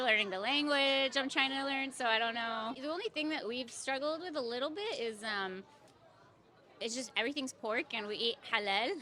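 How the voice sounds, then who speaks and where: A woman from the United States talks in a fairly high voice, chatty and easygoing. She lets her sentences trail off. Her speech runs freely from thought to thought. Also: sharp tone. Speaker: tourist.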